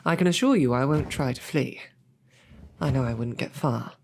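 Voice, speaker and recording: A woman's voice: rumbling low, normal speed, darkish tone, a little hiss or hum. A woman playing a snarky captive lady knight. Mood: puzzled. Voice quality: formal, wordy and wry. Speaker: audio drama performer. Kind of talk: audio roleplay acting.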